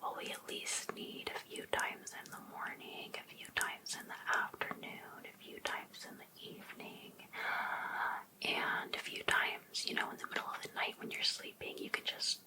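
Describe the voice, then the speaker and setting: A woman playing an obsessive, sweetly pushy life coach in an ASMR roleplay, whispering breathily in a coaxing, deliberate way. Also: natural voice, poor audio.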